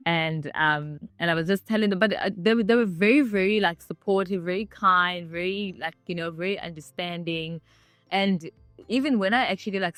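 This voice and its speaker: A woman's voice: lowish voice, fairly bright tone, no echo. A woman, telling her story casually with lots of "like" fillers. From South Africa. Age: 30s. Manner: chatty, with many "like" fillers. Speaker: interviewee.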